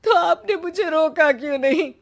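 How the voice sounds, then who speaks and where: A woman's voice: high-pitched, moderate pace, pitched above the performer's own voice. A woman voicing an anxious, trusting middle-aged mother in a moral story, in a plaintive manner. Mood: remorseful.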